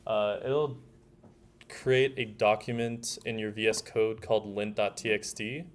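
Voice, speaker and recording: A young man leading a workshop, explaining software steps to a group in an informal, laid-back way. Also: lowish voice, little pitch movement, unhurried. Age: young adult. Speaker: workshop leader. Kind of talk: casual software tutorial to a group. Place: indoor room with a group at a workshop.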